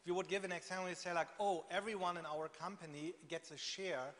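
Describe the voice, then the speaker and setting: A man moderating a conference Q&A, talking in an animated, chatty way full of fillers and laughs. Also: high voice, fast talker. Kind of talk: live Q&A moderation. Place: conference hall. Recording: reverberant conference hall.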